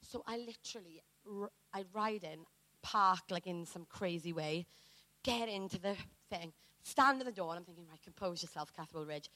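A woman preacher from Wales telling a personal story in a sermon, animated and dramatic, acting out what people said. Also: on the low side, moderate pace, dry recording, poor audio.

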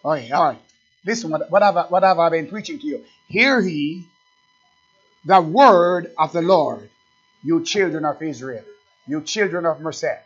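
A man preaching a sermon as pastor, swinging from quiet asides to loud, slow, emphatic scripture reading and questions put straight to his congregation. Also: a little high, expressive, slightly rough. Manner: reads scripture slowly and with emphasis. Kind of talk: fiery sermon. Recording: dull, lo-fi recording.

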